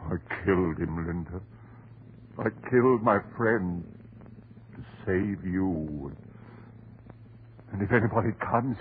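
A man's voice: on the low side, phone-quality sound. An actor playing a distraught, desperate husband devoted to his wife, in melodramatic, pleading 1930s radio horror style. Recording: noisy, band-limited 1930s radio recording.

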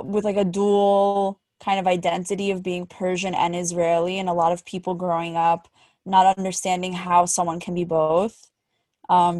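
A woman's voice: fairly low pitch, dry recording. A woman from New York with an American accent, chatting casually as a podcast co-host. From Great Neck, New York.

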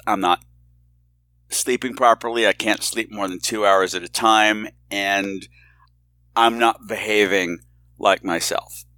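A man's voice: on the low side, fairly even intonation, slow pace, sharp tone, no echo. A man hosting a podcast in a casual, personal monologue, with a theatrical, campy streak and a candid, confiding way of talking. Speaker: podcast host.

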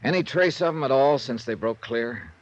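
An American actor playing a wry, tough insurance investigator in a 1950s radio drama, with a breezy, clipped, hard-boiled delivery. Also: male voice, mid-range voice, lively intonation, moderate pace, no echo, natural voice. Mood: probing, calm. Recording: dull 1950s radio recording.

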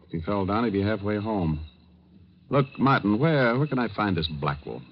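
Suave American private eye, male, thirties, very deep, wry radio-drama delivery, muffled hissy recording. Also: very animated. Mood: brisk, wry. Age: 30s. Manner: wry, unhurried, quick-witted. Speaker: lead actor and narrator. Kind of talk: 1950s radio detective drama acting.

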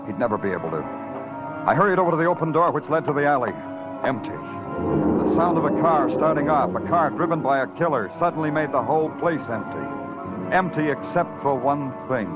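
An actor playing a world-weary, hard-boiled New York police detective in a 1950s radio drama, clipped and moody. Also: male voice, medium pitch, close to an everyday voice. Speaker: lead actor and narrator. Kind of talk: 1950s radio crime drama acting and narration. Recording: dull vintage radio recording with music.

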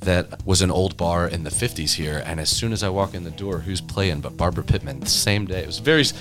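A man's voice: low voice, a little fast, over music. A man speaking conversationally and earnestly, reflecting and telling stories in a discussion. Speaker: studio staffer and guide.

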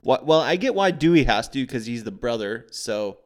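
A man's voice: mid-range voice, expressive, normal speed. A man co-hosting a casual film review podcast, incredulous and asking rhetorical questions. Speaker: podcast co-host.

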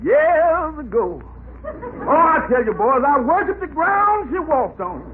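An actor playing a self-important small-time lawyer in old radio comedy, pompous, theatrical and declamatory. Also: male voice, very high voice, very animated, slow pace, heavily acted. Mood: mock-sentimental. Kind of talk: 1940s radio comedy acting. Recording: tinny, noisy old radio recording.

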